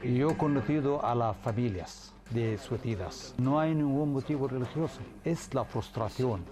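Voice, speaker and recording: A man being interviewed for a documentary, speaking slowly and earnestly with halting restarts. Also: medium pitch.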